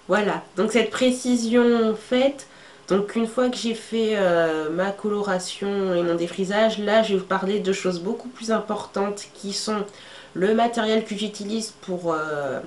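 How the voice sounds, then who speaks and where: A young woman with a stuffy cold talks her viewers through her hair tools in a relaxed, chatty way, stopping often on fillers. Her voice sits at a middle pitch. It was recorded in an echoey room with a faint hiss of ambient noise. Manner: chatty, many fillers, shows items to camera. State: congested with a cold. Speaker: beauty vlogger. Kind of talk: casual how-to talk to camera.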